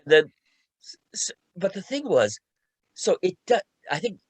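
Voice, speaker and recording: A man's voice: high voice, sing-song, moderate pace, slightly rough, dry recording. A man talking casually on a panel as a co-writer, often repeating himself and restarting phrases as he recounts a creative process. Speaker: radio play co-writer and panelist.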